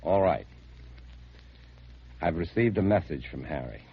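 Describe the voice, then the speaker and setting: Anxious American husband on the run, deep voice, 1950s radio drama narration, muffled old recording. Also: male voice, lively intonation, a little slow, slight background noise. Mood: grave, insistent. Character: anxious husband and father fleeing alien invaders, narrating his ordeal.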